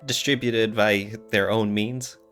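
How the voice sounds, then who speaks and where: A man's voice: fairly low pitch, fairly even intonation, slow, a bit bright, smooth, soft background music. A man talking casually and explaining things as a podcast co-host.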